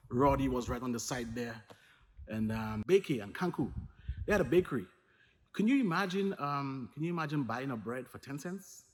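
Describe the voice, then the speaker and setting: A middle-aged man from Dominica, telling his childhood story in a relaxed, rambling vlog full of fillers and repeated words. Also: mid-range voice. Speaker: vlogger. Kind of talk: casual personal storytelling. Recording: faint noise with long reverb.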